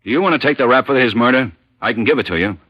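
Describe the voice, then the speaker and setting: An actor playing a stern American police chief in an old radio crime drama, questioning in a hard, scornful, insistent way. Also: male voice, medium pitch, lively intonation, racing, no echo, close to an everyday voice. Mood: threatening, insistent. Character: stern, needling male police chief interrogator. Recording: muffled vintage radio recording.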